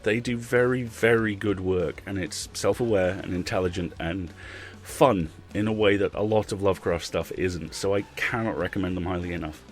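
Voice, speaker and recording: A man with a fairly low voice speaks as a podcast host. His delivery is conversational and wry, with an enthusiastic streak as he talks the listener through the show. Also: steady tone, moderate pace. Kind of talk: conversational hosted podcast introduction.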